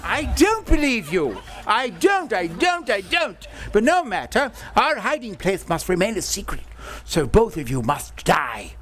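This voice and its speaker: A man's voice: sits high, very animated, unhurried, fairly bright tone, heavily acted. A man voicing a scheming, proud alien prince in a live amateur radio-play reading. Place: small museum stage, live audience.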